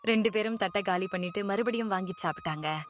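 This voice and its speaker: A woman narrating a cartoon moral story in a clear, expressive way. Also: medium pitch, fairly quick. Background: music.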